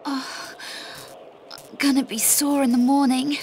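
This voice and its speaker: A woman's voice: high voice, flat delivery, measured pace, darkish tone, low-quality recording. A woman with a British accent playing a dry, sarcastic, fearless adventurer in a video game. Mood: sore, wry. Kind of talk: video game cutscene dialogue.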